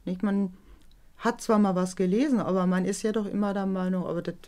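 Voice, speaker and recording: A middle-aged woman speaking candidly and reflectively as a mother in a documentary interview, somewhat halting and often adding tag questions to seek agreement. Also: mid-range voice, fairly even intonation, mellow. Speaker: interviewee, mother of a teenage daughter.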